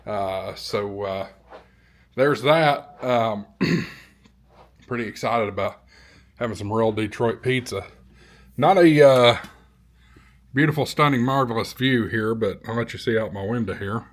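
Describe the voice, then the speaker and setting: A man's voice: mid-range voice, measured pace, pauses often. A man talks in a casual vlog style from a hotel room. He is easygoing and a little hesitant, circling back over his phrases as he goes. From American South. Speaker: vlogger and ham radio YouTuber.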